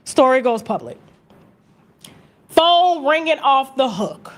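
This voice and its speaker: An American woman telling gossip in a casual, animated, story-like way, brash and sassy, swearing freely and acting out conversations. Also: high voice, slow pace. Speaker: gossip vlogger and host.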